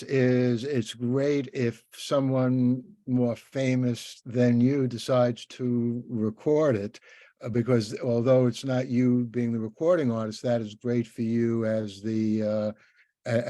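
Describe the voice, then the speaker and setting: Older male interviewer, relaxed and mellow, anecdotal and rambling, dry recording. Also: mid-range voice, slow pace, no echo. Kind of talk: conversational interview.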